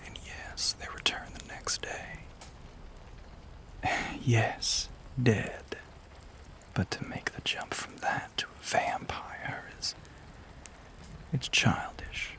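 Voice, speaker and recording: A man playing a lonely, brooding Southern gentleman vampire in an intimate audio roleplay, with a Southern US accent, a breathy voice and genteel, old-fashioned phrasing. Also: lowish voice. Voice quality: breathy, whispery.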